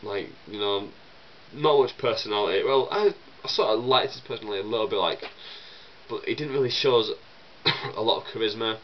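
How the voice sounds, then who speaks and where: A man's voice: fairly low pitch, a little fast, lo-fi sound, a little hiss or hum. Chesty guy with a cold. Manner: chatty and rambling, many fillers and restarts. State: chesty with a cold, coughing and sniffling. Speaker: vlogger answering viewer questions. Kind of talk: casual solo Q and A vlog.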